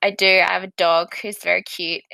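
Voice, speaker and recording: A woman's voice: fairly low pitch, level tone, fairly quick, creaky, sharp tone, dry recording. A woman from Western Australia with an Australian accent, co-hosting a podcast, rambling and self-deprecating. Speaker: podcast co-host. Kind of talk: casual chat.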